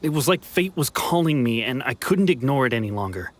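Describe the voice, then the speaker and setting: An actor playing a world-weary former dreamer in a video game, earnest and wistful. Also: male voice, medium pitch, lively intonation, brisk, a little hiss or hum, close to an everyday voice. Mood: regretful, wistful. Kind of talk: dramatic game dialogue acting. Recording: prominent music behind the voice.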